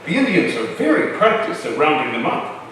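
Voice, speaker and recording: A man's voice: a little high, very animated, moderate pace, fairly bright tone. A man playing a confident, plainspoken colonial fishing captain in a staged reading. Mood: assured. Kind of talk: staged historical drama reading. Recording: echoey hall from far off, noisy.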